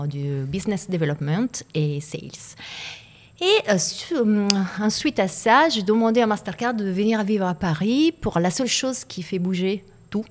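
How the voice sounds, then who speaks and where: An Italian woman speaks French with a clear Italian accent as a guest on a podcast. Her voice sits on the lower side for a woman. She talks in a reflective way, pausing and repeating words while she looks for the right phrase. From Italy. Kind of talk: podcast interview conversation.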